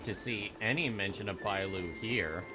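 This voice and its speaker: A man's voice: on the low side, moderate pace, low-quality recording. A man giving casual live reactions and commentary while streaming a video game. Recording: game music behind the voice.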